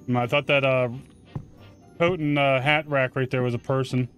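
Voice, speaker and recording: A man's voice: medium pitch, fairly even intonation, moderate pace, fairly bright tone, soft background music. A man giving casual, informal video game commentary with slang and mild swearing. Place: close mic indoors, game music behind.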